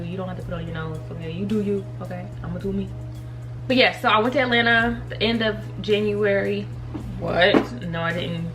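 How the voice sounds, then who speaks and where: A thirty-year-old woman chatting casually while doing her makeup, giggling often and talking straight to her viewers. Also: fairly low pitch. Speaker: beauty and faith vlogger. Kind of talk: casual makeup tutorial chat.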